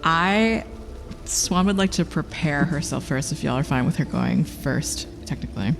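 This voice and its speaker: A man describing his character's moves as a tabletop game player, halting and dramatic in his storytelling. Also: a little high, animated. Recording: long hall reverb, background music. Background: music.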